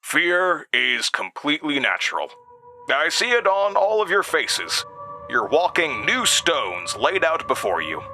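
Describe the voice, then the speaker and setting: A man voicing an ominous, theatrical school principal with a smoker's rasp, preaching in an audio drama. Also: a little high, very animated, soft background music, voice pushed up, exaggerated voice. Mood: ominous, intense. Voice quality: gravelly smoker's voice. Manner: slow preaching, swelling from whispers to shouts.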